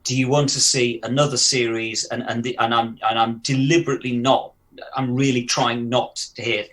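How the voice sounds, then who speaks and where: A man's voice: medium pitch, flat delivery, moderate pace, fairly bright tone. A man co-hosting a podcast. He floats ideas aloud, often restarting and repeating words. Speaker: podcast co-host. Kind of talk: casual podcast discussion.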